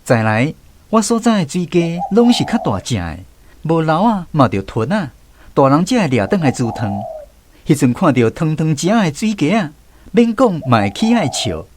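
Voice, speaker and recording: Lively-pitched man narrating a radio story. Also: slight background noise. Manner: measured storytelling, recalling childhood. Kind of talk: scripted radio drama narration.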